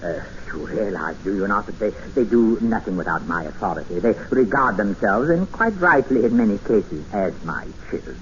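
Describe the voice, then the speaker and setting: An older jungle settler who keeps control through courtesy. His delivery is mannered and very animated in pitch, with musing hums and pauses. It comes through a dull, faintly noisy 1950s radio drama recording. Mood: politely controlling. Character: courteous, menacing older male jungle settler holding a captive.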